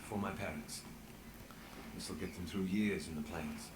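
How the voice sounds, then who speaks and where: A man's voice: low-pitched, steady tone, unhurried, echoey room, noisy background. A man playing a reserved, weary returning hero in a fantasy video game. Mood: reserved, subdued.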